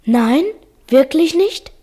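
A woman's voice: high-pitched, lively intonation, takes it slowly, mellow. A woman playing a small, brave schoolboy in a radio drama, with a hesitant, earnest manner. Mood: quietly proud. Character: small, brave, timid-hearted injured schoolboy.

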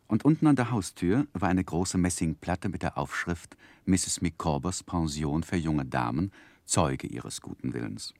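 A man's voice: sits low, gravelly, darkish tone, dry recording, full character voice. An actor playing a grown man who earnestly recalls his boyhood, narrating in a literary 1960s German radio play style.

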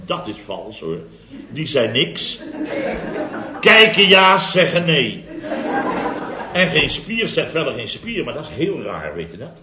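An actor playing a boastful middle-aged contractor, telling a comic story in a theatrical, chatty way for a 1970s radio comedy serial. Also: male voice, mid-range voice, sing-song, takes it slowly, long pauses, reverberant, lo-fi sound, crowd noise. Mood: mocking.

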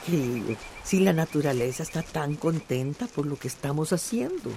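A woman's voice: deep voice, big pitch swings, moderate pace, warm tone. An actress playing a spirited, folksy older grandmother devoted to nature in a radio drama. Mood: tender, glad. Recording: faint background noise.

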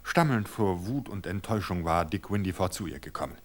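A man reading a mystery story aloud for radio in a calm, measured way. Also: deep voice. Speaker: reader.

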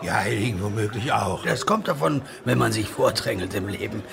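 An actor playing a gruff, superstitious old soldier in a radio play, grumbling sardonically in a gravelly voice. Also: male voice, on the low side, expressive, fairly quick, a crowd in the background. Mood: sardonic, muttering. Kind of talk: German radio play acting.

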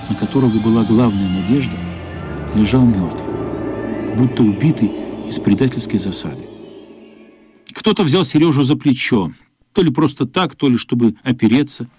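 A man narrating a literary radio play in a measured, somber, dramatic style. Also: medium pitch. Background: faint music.

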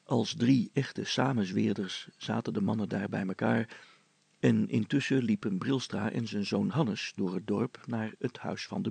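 A male storyteller narrates a tale for children. His voice is heavily creaky and husky, recorded very dry. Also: on the low side, a little mellow, no echo.

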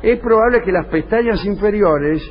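A man's voice: sits high, moderate pace, husky. A man with an Argentine Rioplatense accent hosting a radio comedy show, telling absurd stories in a mock-serious way as improvised dialogue. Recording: dull, noisy radio recording.

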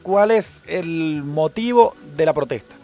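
A Venezuelan man hosting a radio news program, reading items briskly and introducing a correspondent. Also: a little high, lively intonation, dark tone, faint music behind. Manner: brisk announcer delivery. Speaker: radio host. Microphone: studio microphone.

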